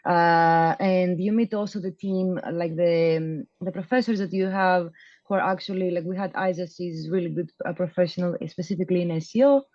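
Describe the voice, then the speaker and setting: A woman's voice: lowish voice, fairly even intonation, moderate pace, darkish tone, no echo, muffled recording. Young woman, hesitant interview answers. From Greece. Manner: loose and hesitant, many fillers and restarts. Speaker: program graduate guest.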